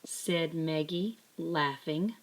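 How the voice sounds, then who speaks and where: Female storyteller for children's picture book: low register, unhurried, deliberate, expressive scripted narration. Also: a little hiss or hum.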